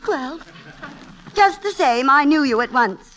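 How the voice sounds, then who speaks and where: Parlak, tizce sesli İngiliz dul. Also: female voice, sits high, lively intonation, a little slow, a bit bright, poor audio. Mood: cosy, chatty. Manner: firm, matter-of-fact, chatty. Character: brisk, kindly, protective middle-aged English widow. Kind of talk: classic radio drama acting.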